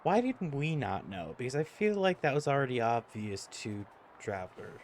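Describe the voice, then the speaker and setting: A man's voice: medium pitch, big pitch swings, moderate pace, a little hiss or hum. A man giving casual, blunt live commentary as he streams a game.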